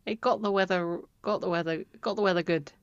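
Co-host: woman with a lower voice who thinks aloud, heard through muffled, dry audio. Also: steady tone, fairly quick, smooth, no echo. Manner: thinks aloud, repeats herself. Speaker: podcast co-host. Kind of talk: casual book discussion. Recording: dry, dull lo-fi sound.

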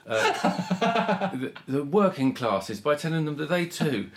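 A man in his forties co-hosting a podcast in casual chat, teasing his friend and trailing off quietly at the ends of thoughts. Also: a little high, big pitch swings, slow. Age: 40s. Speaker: podcast co-host.

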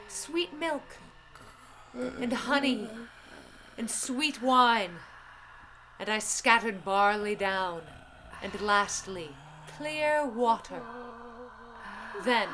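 Slow, grave seafaring hero, played by a woman. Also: mid-range voice, a bit bright, a little hiss or hum, low-quality recording. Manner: measured, dramatic recitation of verse. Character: weary seafaring hero Odysseus, grave epic storyteller. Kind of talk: audio drama acting.

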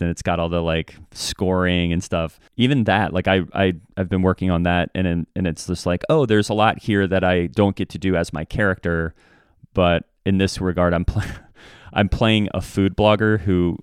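A man's voice: low voice, fairly even intonation, moderate pace, smooth, dry recording, studio quality. A man talking casually and reflectively as a guest in an interview, often acting out bits of remembered dialogue. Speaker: podcast guest, improv comedian. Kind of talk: casual conversational interview talk.

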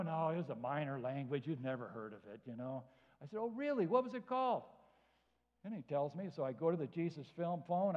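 A man preaching to a church, animated and acting out both sides of everyday conversations. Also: sits high. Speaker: guest speaker. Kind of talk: sermon with acted-out anecdotes. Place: church hall. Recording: dull sound in a reverberant hall.

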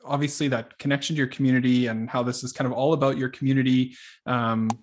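Casting: man, average pitch, informal interviewer with an agreeable, halting conversational delivery. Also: fairly even intonation, slight background noise. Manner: agreeable, halting question phrasing. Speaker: podcast host, interviewer.

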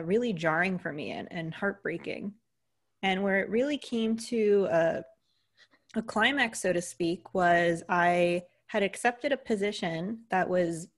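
A young American woman, a leadership coach, telling her story earnestly in a casual interview, with fillers along the way. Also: medium pitch. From United States.

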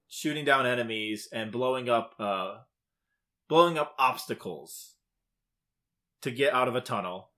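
A man's voice: medium pitch, pushed and bright. A man co-hosting a podcast, casually recapping a game's story in emphatic, short clipped phrases. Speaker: podcast co-host. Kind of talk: casual video game plot recap.

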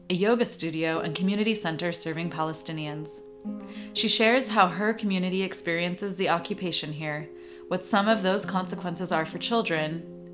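Female podcast host, scripted intro, lowish measured read, guitar bed. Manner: measured, read-aloud delivery. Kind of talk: scripted narration. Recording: guitar music underneath.

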